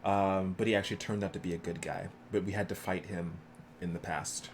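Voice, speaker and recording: A man's voice: deep voice, fairly even intonation, fairly quick, slight background noise. A man doing casual live commentary as a game streamer, explaining things in an easygoing way.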